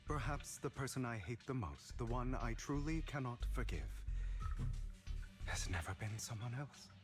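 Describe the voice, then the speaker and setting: A male actor playing a wounded, resolute young man in a video game, quietly bitter and measured, with whispered turns. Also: medium pitch, animated, lightly acted. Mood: pained, bitter. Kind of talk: dramatic video game voice acting. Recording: clear background music from game.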